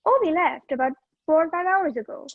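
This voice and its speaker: A feisty young radio-play heroine with a high voice, acted by a woman. She is sharp-tongued but willing to help. The recording is close, dry and a little muffled. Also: brisk, dry recording, faint music behind. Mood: hesitant, confiding. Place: video call, dry room. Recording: muffled video-call audio.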